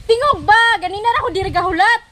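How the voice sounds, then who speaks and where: Filipino woman, Bisaya accent, very high voice, loud pleading phone talk, slight background noise. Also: lively intonation, quick pace. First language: Cebuano. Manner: loud, insistent calling out. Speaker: target of a phone prank. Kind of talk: agitated spontaneous phone talk.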